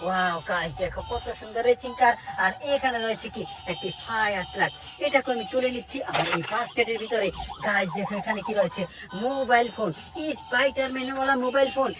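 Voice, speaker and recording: High-voiced woman presenting toys, exclamatory. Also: fast talker, rough recording. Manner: exclamatory, with repeated wows and short phrases. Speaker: presenter of a toy video. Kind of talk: toy showcase narration for viewers.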